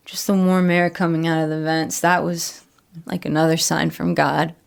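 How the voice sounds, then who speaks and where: Troubled ex-addict with creaky low voice. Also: female voice. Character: troubled female former addict and ex-convict recounting a betrayal. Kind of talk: first-person horror audio drama monologue.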